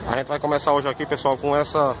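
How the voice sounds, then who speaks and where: A man's voice: a little high, level tone, fast talker. Chatty man outdoors. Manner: chatty, informal, repeats "pessoal". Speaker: car vlogger. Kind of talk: casual walkaround commentary. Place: outdoor car fair lot. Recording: outdoor street noise behind. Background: traffic and crowd ambience.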